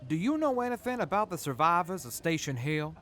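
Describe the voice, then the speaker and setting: An actor plays an earnest man of a wasteland crew. His voice is fairly high and lively in pitch, and he speaks politely and carefully, in audio drama style. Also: brisk. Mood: polite, concerned.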